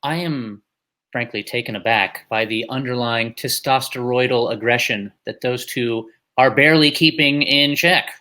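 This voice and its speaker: A man's voice: medium pitch, moderate pace, pushed and bright. A man presenting a competition show in a scripted, theatrical, mock-formal and wordy manner.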